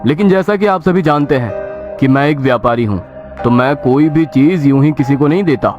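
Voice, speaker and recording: A man's voice: on the high side, normal speed, dull audio, close to an everyday voice. A man playing a smug, cunning village merchant in a cartoon moral story, persuading as he explains. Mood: salesmanlike. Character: smug, cunning male village merchant selling false promises. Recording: loud music under the voice.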